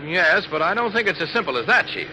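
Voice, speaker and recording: A man's voice: high voice, very animated, fast, background noise. A man playing an earnest, persistent newspaper reporter in 1940s radio style, urgent and reasoning. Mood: insistent. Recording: old radio recording.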